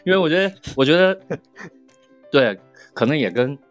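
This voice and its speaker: A man's voice: a little high, animated, normal speed, lo-fi sound, faint music behind. A man chatting casually and playfully as a voice actor guest in a group conversation.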